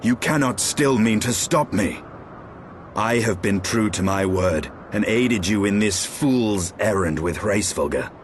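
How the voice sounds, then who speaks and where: An actor playing a grim, proud, dragon-slaying knight in a fantasy game, stern, formal and measured. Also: male voice, fairly low pitch, expressive, slight background noise. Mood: resolute. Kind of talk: archaic fantasy game cutscene acting.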